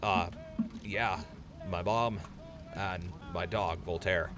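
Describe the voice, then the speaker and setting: A man's voice: deep voice, steady tone, takes it slowly. A man playing a nervous, earnest young infantry soldier in an audio drama, shy and hesitant.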